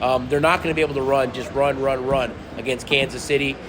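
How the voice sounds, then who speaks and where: A man's voice: mid-range voice, fairly even intonation, fast, background noise. A man co-hosting a sports betting podcast who is losing his voice, leading segments and reading out betting numbers. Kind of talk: casual sports betting talk.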